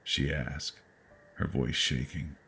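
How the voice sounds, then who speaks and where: A man narrating a horror story in the first person, with deliberate, suspenseful delivery and dramatic pauses. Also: rumbling low, little pitch movement, pushed and bright, slightly rough, faint music behind.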